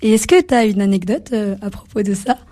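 A woman's voice: mid-range voice, lively intonation, a little fast, dark tone. A young woman hosting a student radio chat, speaking hesitantly with many filler sounds.

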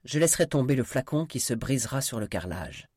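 A man's voice: mid-range voice, expressive, fast talker, a little mellow, husky, no echo, studio quality, voice pushed up. Man playing a desperate, defiant armed schemer, raised voice. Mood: threatening. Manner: brutal, commanding, hot-tempered. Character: desperate, defiant man threatening with a deadly virus spray.

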